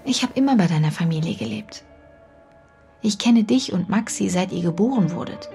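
Low, creaky affectionate nanny. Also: female voice, expressive, moderate pace, dark tone, soft background music. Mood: fond, wistful. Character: affectionate, watchful family nanny from a Mexican village. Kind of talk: radio drama acting.

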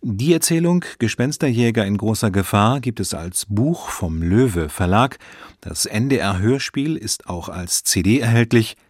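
A man's voice: lowish voice, lively intonation, a little slow, no echo, clean studio sound. A man announcing the credits of a radio play in a scripted read. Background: prominent music.

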